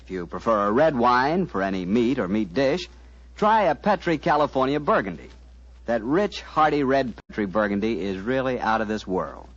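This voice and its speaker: Male forties radio announcer, husky, very lively intonation, folksy pitchman delivery, muffled vintage recording. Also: normal speed, dry recording. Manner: folksy, smooth-talking salesmanship. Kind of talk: 1940s radio sponsor read, scripted.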